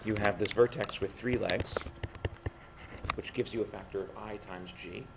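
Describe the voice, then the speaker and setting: A man giving a physics lecture while losing his voice, speaking haltingly and often breaking off mid-phrase. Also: lowish voice, fairly even intonation, gravelly. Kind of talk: university lecture at a board. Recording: noisy classroom recording.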